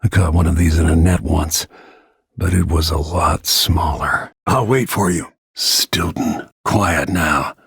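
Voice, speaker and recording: A weathered male bodyguard turned assassin for a video game. His voice sits low and is full of gravel, with a heavily husky grain. He speaks tersely, with grim, brooding restraint. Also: slow, a bit bright, no room sound, studio quality, full character voice.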